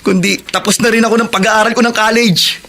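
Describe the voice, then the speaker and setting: A man's voice: sits high, level tone, fast, vocal fry, bright, forceful tone, a little hiss or hum, heavily acted. An actor playing an eager, devoted young man freed from prison, with a Filipino accent and an earnest, pleading radio drama delivery. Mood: excited, hopeful.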